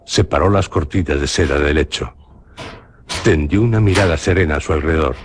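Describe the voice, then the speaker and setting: Deep-voiced Spanish man narrating a horror legend. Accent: Spanish from Spain. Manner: slow, measured, solemn storytelling. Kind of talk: dramatic radio reading of a horror legend. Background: music.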